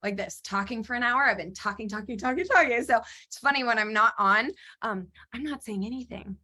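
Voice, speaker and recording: A woman co-hosting a podcast in casual chat, talking excitedly and laughing often, her voice a little strained as she recovers from laryngitis. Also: on the high side, brisk. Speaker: podcast co-host.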